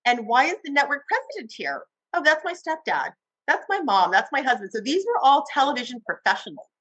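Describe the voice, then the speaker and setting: A woman television host recounts her career in an interview, speaking with enthusiasm and animated swings in tone. The sound is dry and close to the mic, with no room around it. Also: high-pitched, a little fast, husky, dry recording, highs cut off. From Cuba. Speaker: TV host guest. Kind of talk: interview conversation.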